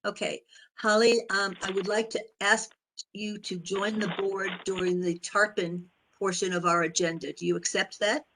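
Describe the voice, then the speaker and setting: A woman chairs a public board meeting in a hoarse, raspy voice. She moves through the agenda haltingly and fills her pauses with ums. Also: mid-range voice, fairly even intonation, noisy background. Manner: halting, with many fillers.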